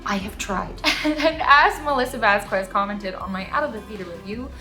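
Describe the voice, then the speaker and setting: A woman's voice: mid-range voice, animated, moderate pace, pushed and bright, faint music behind. A young American woman hosting a casual movie review, gushing and emphatic, with slangy phrasing. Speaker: movie podcast host.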